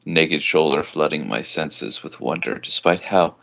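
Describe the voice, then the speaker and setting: Deep, gravelly man reading a story. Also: measured pace, fairly bright tone, highs cut off. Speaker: fan fiction reader. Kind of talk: amateur dramatic story reading.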